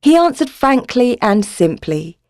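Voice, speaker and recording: A woman's voice: on the high side, sing-song, measured pace, a little mellow, no room sound. A woman narrator reading a scripted story in a literary storybook style.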